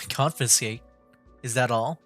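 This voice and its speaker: A man streaming a video game, chatting in a relaxed, offhand way. He reacts casually and reads some of the lines aloud. Game music plays faintly behind him. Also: medium pitch, slow. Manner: relaxed, offhand, chuckles at the story. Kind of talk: casual gameplay commentary. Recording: mic voice over game music.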